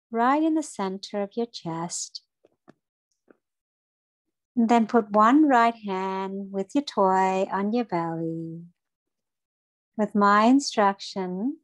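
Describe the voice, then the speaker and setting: Female meditation guide for kids, unhurried and gentle, encouraging instructions, very little room sound. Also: mid-range voice, no echo. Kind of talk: guided breathing meditation for children.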